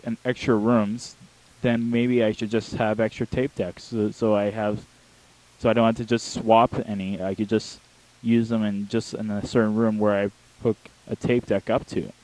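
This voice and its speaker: A young man chatting casually in a halting, rambling way, correcting himself as he goes, into a handheld mic held close. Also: on the low side, darkish tone, low-quality recording. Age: young adult. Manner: halting, self-correcting delivery. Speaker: talk show host. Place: small home bedroom, indoors. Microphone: handheld wireless microphone held close to the mouth.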